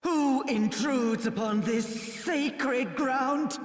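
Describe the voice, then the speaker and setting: Casting: menacing female serpent guardian, fantasy game. Extremely slow, solemn, theatrical, echoing over music. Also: high-pitched. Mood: hostile, menacing. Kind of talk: dramatic video game voice acting. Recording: echoing hall, music behind.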